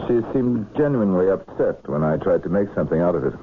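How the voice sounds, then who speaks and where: An actor playing a probing police inspector in a radio drama, terse and matter-of-fact, courteous but persistent. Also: male voice, low-pitched, lively intonation, fast talker. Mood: musing. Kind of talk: 1970s radio drama acting. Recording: muffled lo-fi old radio broadcast, hiss.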